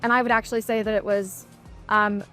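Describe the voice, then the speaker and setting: A woman's voice: medium pitch, flat delivery, brisk, a bit bright, faint music behind. A woman who founded her own company, talking casually on a panel in a matter-of-fact way.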